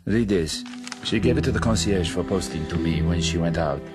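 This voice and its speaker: A man acting in a radio drama as a grave, anguished crime-boss father, speaking in a measured, formal way. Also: low-pitched, natural voice. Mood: solemn, pleading. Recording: orchestral music under the voice.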